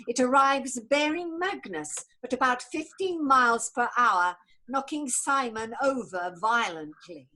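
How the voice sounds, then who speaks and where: A woman's voice: on the high side, pushed and bright, poor audio. A woman narrating stage directions in a scripted play reading.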